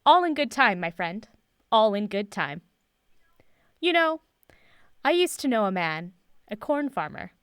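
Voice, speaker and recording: A patient, cryptic woman mentor in an audio drama. Her voice is mid-pitched and very dryly recorded. She sounds measured and sure of herself, like a professor holding something back. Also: very animated, fairly bright tone, smooth, no echo, clean studio sound. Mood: patient, instructive. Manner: measured, guarded, teacherly, fond of sayings.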